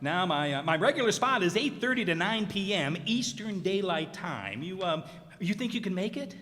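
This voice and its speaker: A man playing an excitable, fumbling radio reporter in a radio comedy, stammering and hesitant. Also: high voice, moderate pace. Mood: nervously polite. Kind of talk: old-time radio comedy acting. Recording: reverberant hall stage recording.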